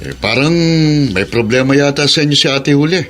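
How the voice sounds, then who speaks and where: A man's voice: medium pitch, brisk, fairly bright tone, slight background noise, lightly acted. A man with a Filipino accent playing a blunt, casual grown son in a radio drama. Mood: teasing, blunt. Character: blunt grown son visiting his father. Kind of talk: Tagalog radio drama acting.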